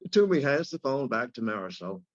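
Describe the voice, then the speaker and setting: Male stage-direction reader, medium pitch, scripted screenplay narration, slightly muffled recording. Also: sing-song, fairly quick. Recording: dull lo-fi audio.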